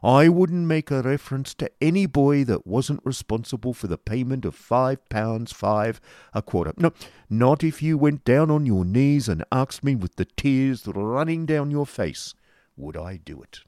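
A man's voice: medium pitch, lively intonation, a little slow, warm tone, no room sound, studio quality, voice pushed up, lightly acted. A man voicing a pompous, grasping middle-aged schoolmaster who talks in a wheedling sales patter.

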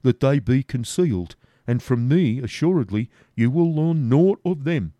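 A man's voice: mid-range voice, lively intonation, normal speed, dark tone, no echo, highs cut off, voice pushed up, natural voice. A man voicing a surly, honest servant who answers doggedly. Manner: dogged, grumbling.

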